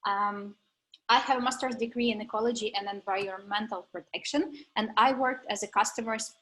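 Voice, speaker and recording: A woman's voice: mid-range voice, steady tone, brisk, fairly bright tone, low-quality recording. A young woman from Ukraine, giving a methodical, step-by-step technical presentation at a coding school graduation.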